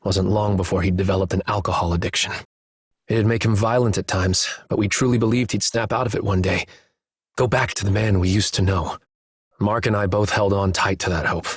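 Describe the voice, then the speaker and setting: A somber young man from a video game drama, haunted and earnest. His voice is low and notably husky, with subdued, measured delivery in a close, dry recording. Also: fairly even intonation, dry recording. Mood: somber, bitter. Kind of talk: video game cutscene voice acting.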